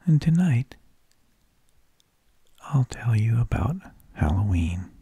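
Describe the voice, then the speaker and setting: Male ASMR host: whispered, husky and gravelly, unhurried halting monologue, very dry close sound. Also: mid-range voice, big pitch swings, dark tone, dry recording. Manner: slow, halting delivery with long pauses. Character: mild, tedious energy vampire who lulls people to sleep.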